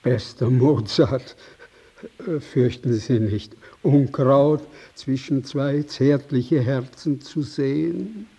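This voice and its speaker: An actor playing a courtly, sly party guest who teases gently in a radio drama, speaking deliberately. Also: male voice, mid-range voice, gravelly. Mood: teasing. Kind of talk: broadcast radio drama acting.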